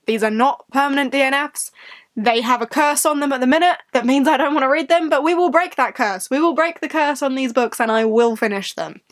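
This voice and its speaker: High-voiced woman, slightly congested. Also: fairly quick. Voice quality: slightly congested, bunged-up nose. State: getting over a cold. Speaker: book vlogger, host. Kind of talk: casual rambling sit-down chat to viewers.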